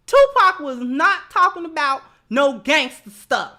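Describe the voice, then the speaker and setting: A woman's voice: high-pitched, sing-song, measured pace, sharp tone. An American woman host giving opinionated commentary in informal, colloquial speech, emphatic and repeating phrases to drive a point home. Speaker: livestream host.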